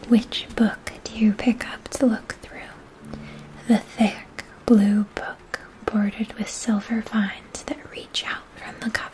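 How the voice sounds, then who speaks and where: A woman speaks in a soft whisper, guiding the listener through an ASMR fantasy story. Her delivery is very even, with little rise or fall, and she takes her time over each phrase. She articulates carefully and sounds gentle and soothing. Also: fairly high pitch, rough recording. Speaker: ASMR roleplay performer.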